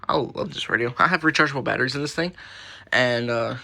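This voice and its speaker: Casual, gravelly man rambling along. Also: mid-range voice, fast, noisy background. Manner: rambling, muttering asides, mild swearing. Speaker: radio collector and host. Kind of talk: casual show-and-tell vlog. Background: weather radios playing.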